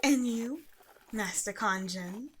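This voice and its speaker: Actress as sly villain sister, highish voice, slow deliberate delivery, fantasy audio drama. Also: female voice, fairly bright tone, husky, heavily acted, poor audio. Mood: suspicious. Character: sly, smooth-talking villainous younger sister. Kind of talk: audio roleplay acting.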